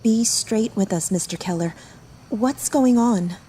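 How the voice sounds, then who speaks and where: A woman's voice: medium pitch, unhurried, warm tone, slight background noise, natural voice. A woman playing an anxious, hopeful wife who speaks urgently.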